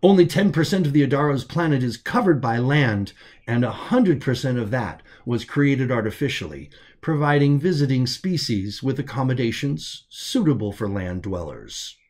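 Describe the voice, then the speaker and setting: A man's voice: medium pitch, lively intonation, normal speed, darkish tone. A man reading science fiction as scripted audiobook narration, telling the story as its author.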